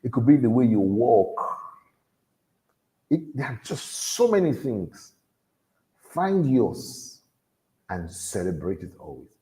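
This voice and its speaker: A man with a deep voice, giving an earnest motivational talk to young people and repeating his key lines for emphasis. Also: big pitch swings, slow, pauses often, mellow, poor audio. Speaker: motivational speaker at a youth event.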